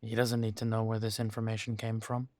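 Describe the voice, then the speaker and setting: A man playing a protective, weary but determined werewolf pack leader in an intimate roleplay audio drama, speaking deliberately with pauses. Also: on the low side, completely flat delivery, darkish tone, no echo, close to an everyday voice. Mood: wary, brooding.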